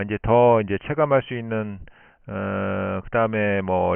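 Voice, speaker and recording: A man's voice: medium pitch, gravelly, clear, resonant tone, no room sound. A man talking as an amateur radio operator, explaining things in polite, formal speech over a shortwave radio link. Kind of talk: explanatory radio contact talk. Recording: muffled narrowband shortwave radio transmission.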